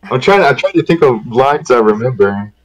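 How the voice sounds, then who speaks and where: A man's voice: mid-range voice, animated, moderate pace, low-quality recording. A man chatting casually as a voice actor guest. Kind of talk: casual group chat.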